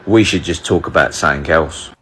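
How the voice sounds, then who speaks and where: A man's voice: extremely low pitch, brisk, fairly bright tone, slight background noise. An actor playing a scornful British man in dry comic banter, grudgingly conceding points.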